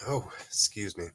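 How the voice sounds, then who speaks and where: A man hosting a casual toy-collecting livestream, talking in a rambling, laid-back way with frequent laughs and some cursing, a little congested from allergies. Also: pushed and bright. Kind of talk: casual livestream chat with viewers.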